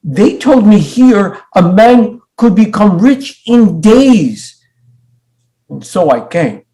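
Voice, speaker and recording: A man's voice: sits high, sing-song, takes it slowly, mellow, heavily acted, poor audio. A man in a play reading, playing a naive, hopeful, stubbornly proud immigrant who speaks in simple, formal, non-native phrases. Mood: earnest, insistent. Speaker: stage actor.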